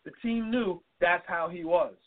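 A man co-hosting an advice talk show, chatting and telling stories from his own life. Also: high voice. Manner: quick, conversational, tells personal stories. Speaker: co-host. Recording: phone-like narrow audio.

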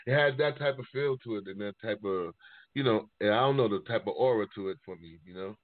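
A man's voice: on the low side, fast talker, no echo. A man hosting a casual interview, asking loose, rambling questions in a conversational way. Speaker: interview host. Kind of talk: casual chat. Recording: thin telephone line.

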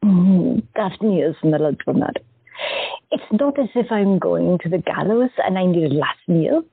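A woman as a gentle, wistful young artist. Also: on the low side, lively intonation, a little slow, vocal fry, breathy edge, no echo, full character voice. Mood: tender, wistful. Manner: gentle, hesitant. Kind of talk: audio drama acting. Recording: dry, tinny phone-like sound.

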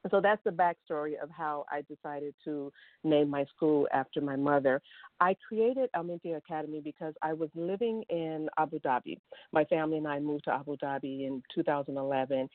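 A woman's voice: deep voice, no echo. A middle-aged woman from Chicago, a school founder telling her story in a friendly way in a radio interview. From Chicago, USA. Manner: friendly, storytelling, laughs now and then. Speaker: guest, online school founder. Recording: tinny phone line, dry.

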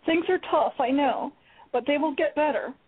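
A woman acting a gentle, reassuring older sister spirit in a live radio play, speaking softly and calmly. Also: sits high, moderate pace, natural voice. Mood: consoling. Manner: soft, calm, reassuring. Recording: tinny phone-line radio broadcast.

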